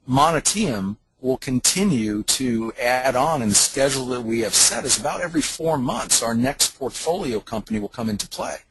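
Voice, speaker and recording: A man making an emphatic, persuasive sales pitch on a conference call, like a company leader selling an opportunity. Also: a bit bright, a little hiss or hum, low-quality recording.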